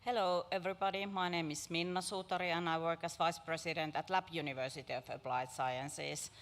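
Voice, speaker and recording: A woman's voice: low voice, steady tone, fairly quick. A woman from Finland, a university vice president, answering on a panel with hesitations and repeated words.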